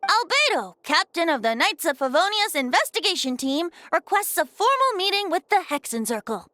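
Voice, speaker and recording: A woman's voice: extremely high pitch, very animated, normal speed, bright, forceful tone, no echo, exaggerated voice. A woman voicing a carefree, teasing boyish young bard god in an anime game, playful and breezy.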